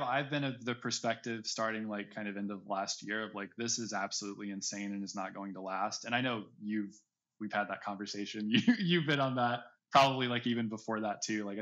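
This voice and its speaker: A man's voice: lowish voice, fairly bright tone. Casual man on slightly muffled audio. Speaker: podcast guest. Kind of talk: casual conversational interview chat.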